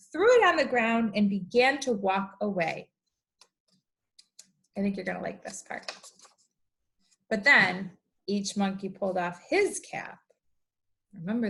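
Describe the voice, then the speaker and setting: A woman teacher reading aloud and explaining things to young children, with expressive, deliberate emphasis. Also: mid-range voice. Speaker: elementary school teacher.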